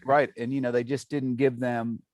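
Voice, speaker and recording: A man's voice: medium pitch, little pitch movement, a little mellow, no room sound. Earnest, chatty man on a dry call. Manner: earnest, chatty, fills pauses with "you know". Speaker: table read cast member. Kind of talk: casual chat on a video call. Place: home near Venice, Los Angeles.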